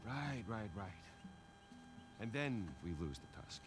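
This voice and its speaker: Middle-aged American rogue, gravelly, deep-set voice, wry, talkative, action game dialogue, noisy ambience behind. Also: male voice, expressive, slow pace. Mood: awkward, casual. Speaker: video game character actor.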